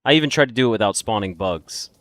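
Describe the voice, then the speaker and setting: A man's voice: on the low side, expressive, fast talker, a bit bright, no echo. A man giving let's play commentary, reacting and exclaiming as he gets hit in a boss fight. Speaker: gaming video host. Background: game music.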